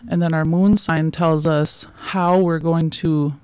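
A woman's voice: low voice, fairly even intonation, a little slow, darkish tone, clean tone, no echo. A woman in her forties, a guest in a casual interview, talking in loose, rambling sentences full of fillers and restarts and often laughing between thoughts.

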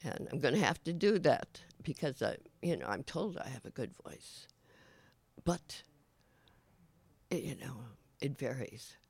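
A raspy older man talks slowly and loosely, stopping often to search for words. His voice is deeply gravelly, heard close and dry with no room around it. The talk is relaxed and personal. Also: no echo. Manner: halting, many fillers and restarts. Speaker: show host. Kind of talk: casual rambling monologue.

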